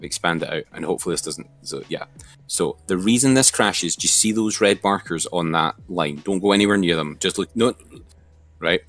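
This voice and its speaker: A man talking someone through software step by step in an informal, hesitant way, thinking aloud. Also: sits low, a little fast. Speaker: software tutor. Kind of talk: informal step-by-step screen tutorial.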